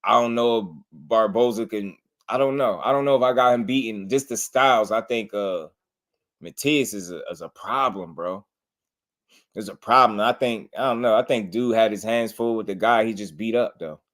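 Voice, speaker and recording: A young man with a lowish voice chats loosely about fights, laughing a lot and circling back over his points. His delivery is relaxed and conversational, like a streamer reacting live. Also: moderate pace. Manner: laid-back, laughs often, repeats himself. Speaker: boxing channel host. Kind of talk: casual reaction commentary.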